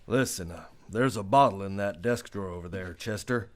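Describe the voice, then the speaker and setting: A man's voice: deep voice, fairly quick, lightly acted. A man playing a weary, haunted Old West lawman in a radio drama. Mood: weary, steady. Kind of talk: old-time western radio drama acting.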